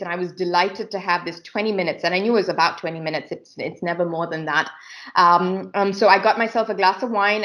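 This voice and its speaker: A woman's voice: lowish voice, fairly bright tone. Middle-aged woman giving a reflective talk. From Singapore. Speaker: inspirational speaker and author. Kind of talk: presentation with personal storytelling.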